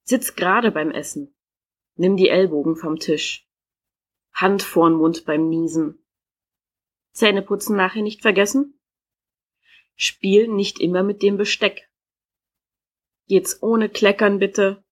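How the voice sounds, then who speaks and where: Nagging parent at the dinner table, voiced by a performer, scolding orders, slow. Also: mid-range voice.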